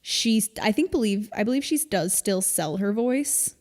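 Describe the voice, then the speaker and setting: A woman co-hosting a podcast, explaining things in casual, informal chat with an animated storytelling manner. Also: medium pitch, measured pace. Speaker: podcast co-host. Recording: long reverb, hall-like room.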